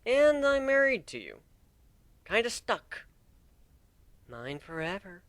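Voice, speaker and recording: A man voicing an affectionate, slightly anxious female changeling in a storybook reading, soft and breathy, with a gentle, hesitant manner. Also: sits high, very animated, takes it slowly, no room sound, voice pushed up, full character voice.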